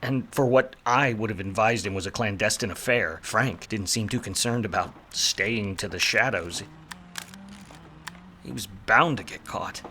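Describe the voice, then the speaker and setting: Man acting a low-voiced hardboiled noir detective. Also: measured pace, pushed and bright, slight background noise. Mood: wry, weary. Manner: wry, sardonic, slangy. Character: hardboiled, wisecracking 1940s male private detective. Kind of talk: film noir radio play narration and dialogue.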